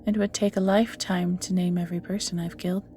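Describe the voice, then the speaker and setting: Woman acting ancient banished villainess, musing and breathy. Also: lowish voice, flat delivery, quick pace, mellow, soft background music, natural voice. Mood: frank, ominous. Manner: musing, theatrical, often drops into breathy near-whispers. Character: ancient banished evil magical being, flirty and world-weary. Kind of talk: scripted audio roleplay acting, addressing the listener.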